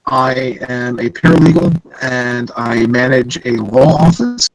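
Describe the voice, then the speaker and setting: A man's voice: medium pitch, lively intonation, measured pace, no room sound, rough recording. A man in his thirties, shy and self-deprecating, introducing himself in a casual group video call. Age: 30s. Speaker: online game contestant.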